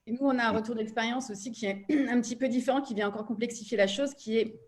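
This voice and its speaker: Fast-talking woman on a panel. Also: fairly high pitch, steady tone, a little mellow. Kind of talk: panel discussion, spontaneous explanation.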